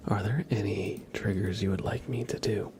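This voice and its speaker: A man softly chatting to viewers as an ASMR livestreamer, gentle and unhurried, often in a whisper. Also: fairly low pitch, fairly even intonation, heavy background noise.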